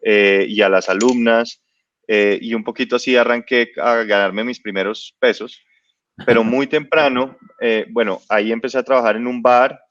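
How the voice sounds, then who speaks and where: A man's voice: medium pitch, fairly even intonation, normal speed, fairly bright tone, no echo, dull audio. A man in his late thirties, a business guest, telling his life story in a casual interview with many hesitations and restarts. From born in Spain, raised in Ecuador and Colombia. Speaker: guest entrepreneur.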